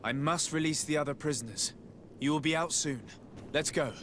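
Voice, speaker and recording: An actor playing a resolute noble envoy in a game, composed, formal and authoritative. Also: male voice, on the high side, moderate pace, fairly bright tone, slight background noise, lightly acted. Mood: reassuring, practical.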